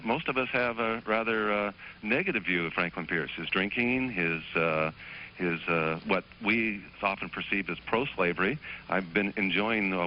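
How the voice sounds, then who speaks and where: A man, a teacher calling in to a television program, answering politely and haltingly with many fillers. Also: low-pitched, a little hiss or hum. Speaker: teacher and call-in guest. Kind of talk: phone interview. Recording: muffled phone line on broadcast.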